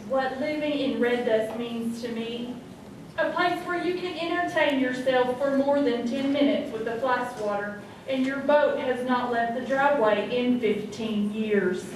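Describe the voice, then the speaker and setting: A woman's voice: a little high, unhurried, natural voice. A woman playing a wry small-town local in a live radio comedy sketch, reciting drily and teasingly. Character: wry small-town townswoman giving a comic testimonial. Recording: echoey hall, distant, noisy live stage.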